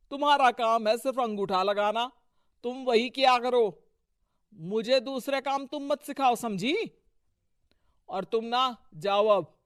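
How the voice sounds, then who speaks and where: A man voices a domineering small-time political husband in a cartoon moral tale. His voice is notably high and clean, and he speaks unhurriedly, with bossy self-importance. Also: a bit bright, clean tone, dry recording, clean studio sound. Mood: angry, bossy. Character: domineering corrupt husband, local strongman who runs his wife's office. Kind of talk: acted dialogue in an animated moral story.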